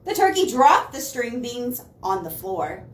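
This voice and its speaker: High-pitched woman reading animatedly to children. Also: measured pace. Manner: expressive, asks listeners playful questions. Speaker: library storyteller. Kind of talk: animated children's picture-book read-aloud. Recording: echoey room, distant mic, faint noise.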